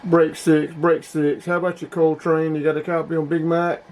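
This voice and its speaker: Folksy middle-aged man, slightly high-pitched. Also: flat delivery, moderate pace, very noisy. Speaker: hobbyist video host. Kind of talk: casual product review vlog.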